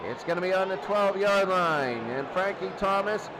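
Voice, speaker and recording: A man's voice: high voice, normal speed. A man doing play-by-play American football commentary in short, exclamatory present-tense bursts. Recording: noisy recording with loud crowd din. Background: loud crowd noise.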